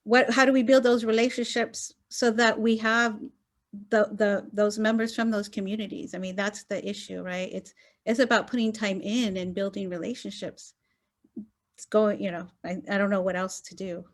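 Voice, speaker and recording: Woman academic on a zoom panel, talks it through, lots of um and right?, medium pitch. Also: fairly even intonation, normal speed. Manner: earnest, thinks aloud with many fillers and "right?" tags. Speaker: gender studies scholar on roundtable panel. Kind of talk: academic panel discussion over video call. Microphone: video call.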